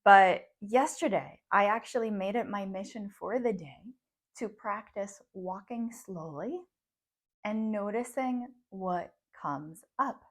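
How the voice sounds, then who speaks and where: Woman in her thirties, psychologist and coach, first language Russian; reflective talk to camera, quick laughs between thoughts. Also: mid-range voice. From grew up in the United States from age ten, born abroad.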